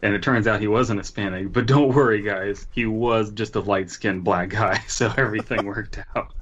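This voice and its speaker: A man's voice: lowish voice, fairly even intonation, normal speed, fairly bright tone. A man co-hosting a podcast in casual, joking discussion over a voice call. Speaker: podcast co-host. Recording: slightly dull voice-call audio.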